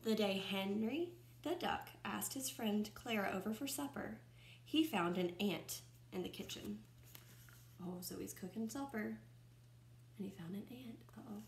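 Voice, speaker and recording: A woman in her twenties reads a picture book aloud like a teacher to young children, expressively, pausing to comment and ask questions. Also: mid-range voice, a little hiss or hum. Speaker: first-grade teacher reading aloud. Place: small room at home, indoors by a window. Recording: roomy, echoing home recording.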